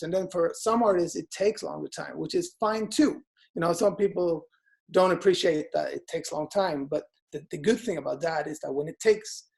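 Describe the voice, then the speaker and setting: A man's voice: on the high side, vocal fry. A man in his thirties from Sweden with a Swedish accent, chatting casually as a guest and talking through lots of fillers and restarts. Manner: relaxed, many fillers and restarts. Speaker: guest DJ and producer. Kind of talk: casual interview chat.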